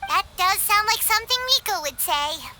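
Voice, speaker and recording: A woman's voice: extremely high pitch, lively intonation, normal speed, background noise. A woman voicing a tiny childlike fairy companion in a video game, chirpy and inquisitive, full of exclamations. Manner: exclaiming, refers to self in third person.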